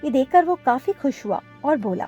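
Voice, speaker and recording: A woman's voice: high voice, expressive, normal speed, warm tone, dull audio, soft background music. A woman reading scripted narration for a children's cartoon story.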